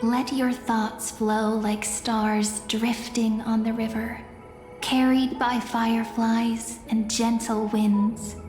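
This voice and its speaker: An actress playing a gentle, serene young oracle card reader in a video game, poetic and deliberate. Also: female voice, fairly high pitch, flat delivery. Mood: serene, mystical. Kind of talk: scripted game voice acting. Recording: echoing hall reverb, faint music.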